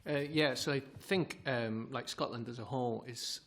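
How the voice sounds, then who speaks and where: Man, gravelly low voice, casual testimony, faint hum. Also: normal speed, a bit bright. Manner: casual, many fillers like "like" and "kind of". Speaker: committee witness.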